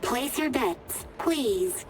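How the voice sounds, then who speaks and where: An actress playing a polite, formal casino croupier in a video game. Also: female voice, on the high side, lively intonation, extremely slow, over music. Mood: formal, detached. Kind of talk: video game cutscene acting.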